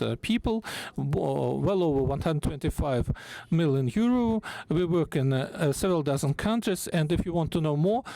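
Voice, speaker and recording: Male conference interpreter, fairly high voice, halting delivery in short pieces. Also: warm tone. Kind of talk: live simultaneous interpreting.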